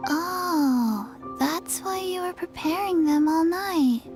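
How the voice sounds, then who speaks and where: A small, obedient little girl from a video game. The voice is extremely high and very slow, dutiful in tone. Loud music plays behind it. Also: exaggerated voice. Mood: realizing.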